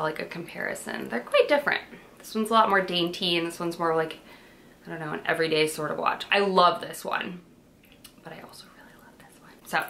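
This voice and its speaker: Bright, bubbly young woman chatting at home. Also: mid-range voice. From western New York. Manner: bubbly and rambling, lots of "like" and "um". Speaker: vlogger. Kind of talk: casual chatty vlog. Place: kitchen and bedroom at home.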